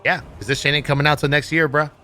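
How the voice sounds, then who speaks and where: Bright, forceful man commenting on a livestream. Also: a little high, little pitch movement, fast, faint music behind. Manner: blunt, swears freely. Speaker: livestream host. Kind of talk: casual livestream commentary on gaming news.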